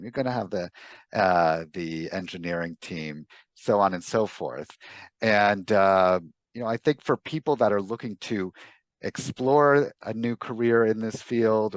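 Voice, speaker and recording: A man with a fairly low voice hosts a podcast interview in a very dry, close recording. He speaks conversationally and with measure, summing up and listing points step by step. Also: dry recording. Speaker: podcast host.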